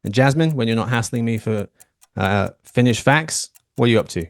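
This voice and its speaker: Brief: male podcast host, joking and teasing, mid-pitched voice, dead-dry close mic. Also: lively intonation, normal speed, dry recording. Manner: playful, teasing banter. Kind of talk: casual podcast chat.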